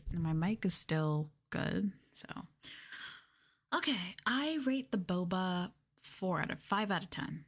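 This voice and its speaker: A soft-spoken woman streaming ASMR, casually chatting to viewers as the host and describing what the hands are doing, close to the microphone. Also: lowish voice. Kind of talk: casual ASMR soft talking to live chat. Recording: close mic with clear handling noise. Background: crinkling, clicking and handling noises.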